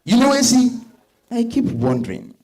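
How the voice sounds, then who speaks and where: A man with a West African accent playing a married suitor, smooth and insistent, in a dramatized stage reading. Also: extremely high pitch, wildly swooping pitch, moderate pace, darkish tone, full character voice. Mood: flirtatious. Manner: persistent, questioning.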